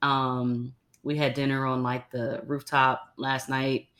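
A young woman hosting a casual medical podcast, chatting in a relaxed way with plenty of slang and fillers, her voice a little raspy from the early morning. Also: extremely low pitch, takes it slowly. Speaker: podcast host. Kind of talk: casual chat.

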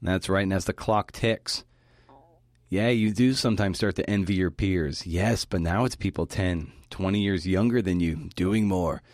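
Very deep-voiced man, dry close sound. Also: moderate pace, darkish tone, no room sound. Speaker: podcast host giving a talk. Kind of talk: scripted talk narration linking interview clips.

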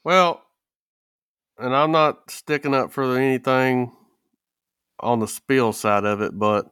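Male co-host, medium pitch, very clean resonant tone, colloquial and relaxed-format chat. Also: slow, smooth, no room sound, studio quality. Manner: colloquial, folksy. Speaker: podcast co-host. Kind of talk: casual chat.

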